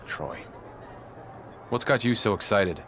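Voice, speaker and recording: Deep-voiced, defiant wrestling champion. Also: male voice, very slow, a bit bright, a little hiss or hum. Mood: wary. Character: wary, defiant male pro wrestling champion, edgy but sardonic.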